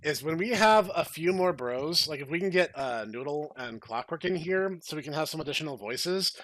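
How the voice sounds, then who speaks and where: A man's voice: on the high side, expressive, normal speed, a bit bright, no echo. Mock-grandiose man hosting a podcast. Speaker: podcast host. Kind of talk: podcast banter.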